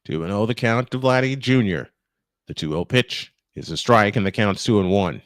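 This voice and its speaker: A man's voice: on the low side, expressive, normal speed, no echo. A man calling live baseball play-by-play as a sportscaster. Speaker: play-by-play commentator on a live stream.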